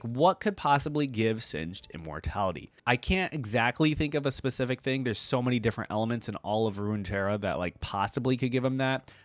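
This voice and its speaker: A man's voice: mid-range voice, no echo. A young man hosting a casual reaction video, talking in a rambling, emphatic, chatty way. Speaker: YouTube reaction host.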